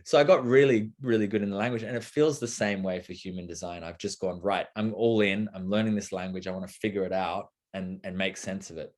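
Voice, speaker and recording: A man's voice: low-pitched, fairly even intonation, a little fast, few pauses, darkish tone. A young adult man talking casually and thoughtfully, rambling with lots of fillers and restarts. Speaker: co-host of a live talk. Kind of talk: casual conversational talk.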